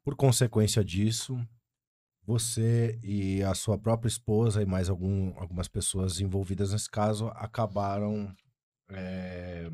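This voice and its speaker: A man from Brazil, a podcast host asking his guest measured, formal questions. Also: lowish voice, steady tone, warm tone, dry recording, studio quality. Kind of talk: interview questions.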